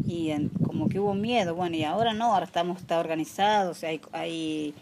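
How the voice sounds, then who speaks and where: A low-voiced woman in her forties from rural Argentina, Mendoza accent, telling her life story plainly and haltingly to a visitor, with clear noise around her. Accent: rural Argentine Spanish from Mendoza. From rural Mendoza province, Argentina. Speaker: interviewee, artisan weaver. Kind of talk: informal oral history interview. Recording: clearly noisy background with clicks.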